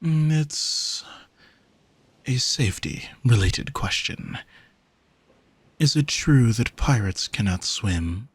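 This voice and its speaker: Man acting a slow, husky, vain gentleman sea monster. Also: medium pitch, lively intonation, dry recording. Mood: smug, flirty. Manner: haughty, formal, teasing, drawn-out phrasing. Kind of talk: scripted audio roleplay, spoken to the listener.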